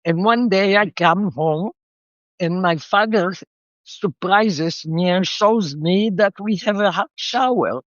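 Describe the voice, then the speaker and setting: A woman's voice: medium pitch, slow pace, no echo. An older woman from Israel, reflectively telling the story of her life as an interview guest, with a slightly muffled sound.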